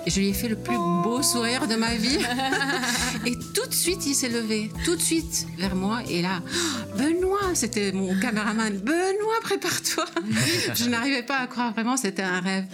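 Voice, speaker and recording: A woman reporter chatting as an interview guest, telling lively stories and laughing often. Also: on the high side, moderate pace, music in the background. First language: Portuguese. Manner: animated storytelling, laughs often. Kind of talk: casual interview chat.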